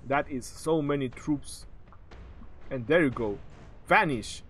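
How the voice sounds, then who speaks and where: A man calling a strategy game match live, in excitable, joking play-by-play, his voice going hoarse. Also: mid-range voice, slow pace, slight background noise.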